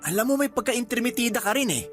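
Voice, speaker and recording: A man's voice: very high voice, expressive, fast talker, fairly bright tone, soft background music. A man acting in a Tagalog radio drama as a freeloading older brother and father who argues defensively. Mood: angry, resentful. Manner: wheedling, touchy, argumentative.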